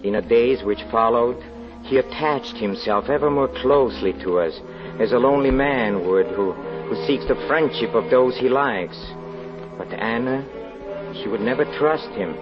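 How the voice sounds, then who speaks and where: Through a dull vintage radio recording with music behind comes a man playing a trusting stage magician. He speaks haltingly and repeats himself, like a haunted confession in a 1940s radio play. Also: medium pitch, close to an everyday voice. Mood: haunted, rueful. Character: trusting, flattered male carnival magician recounting his undoing. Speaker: narrator and lead actor. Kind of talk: 1940s radio drama acting.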